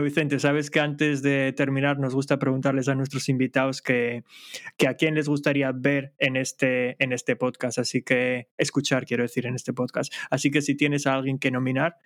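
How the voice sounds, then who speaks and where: Jokey Asturian podcast host man. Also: medium pitch. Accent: Asturian Spanish. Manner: jokey and chatty, laughs often. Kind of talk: casual chat.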